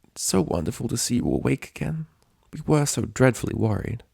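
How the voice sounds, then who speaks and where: A man's voice: medium pitch, sing-song, a little fast, gravelly, a little mellow, breathy edge, dry recording, studio quality, voice pushed up, full character voice. A man voicing a refined, tender, concerned female pony in a story reading.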